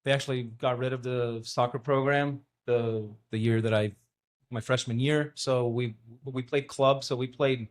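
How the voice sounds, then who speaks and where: A man in his forties from Norway, a youth soccer coach and native Norwegian speaker, calmly telling his story with frequent ums. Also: mid-range voice, moderate pace. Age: 40s. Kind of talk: casual interview answers.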